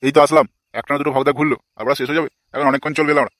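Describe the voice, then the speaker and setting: Man acting a rapid-fire, scolding cartoon father. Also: a little high, little pitch movement, fairly bright tone, no echo, close to an everyday voice. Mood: impatient explaining. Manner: scolding, crude, swearing. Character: exasperated foul-mouthed old village father scolding his sons. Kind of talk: comic cartoon dialogue.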